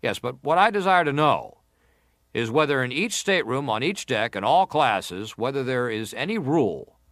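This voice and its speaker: A formal, pressing senator examines a witness in a historical drama. His mid-pitched male voice is deliberate and insistent, recorded dry with no room around it. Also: sing-song, fairly bright tone, no room sound. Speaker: actor reading an examining senator. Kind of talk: dramatised reading of hearing testimony.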